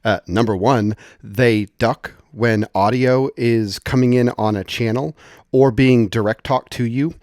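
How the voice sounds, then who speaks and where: A man with a medium-pitched voice walks through software in an informal, conversational way. He explains things as a tutorial presenter would, thinking aloud. The sound is dry, with very little room in it. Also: fairly even intonation, measured pace, no room sound.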